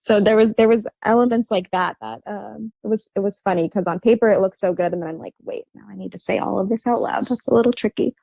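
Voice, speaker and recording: Soft-spoken woman with a gravelly edge. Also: mid-range voice, fast talker, few pauses, dry recording, muffled recording. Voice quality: soft, quiet voice. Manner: hesitant, many fillers and restarts. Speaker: author and guest. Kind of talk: casual interview chat.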